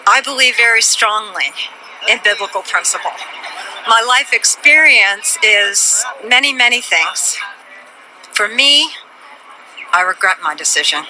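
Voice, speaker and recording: Woman in her 50s, sharp voice, slow emphatic phrases giving testimony outside, slight noise. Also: mid-range voice, rough recording. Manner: deliberate, emphatic short phrases. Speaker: protester and interviewee. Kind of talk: personal testimony. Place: outdoors on a city street. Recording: faint street noise behind.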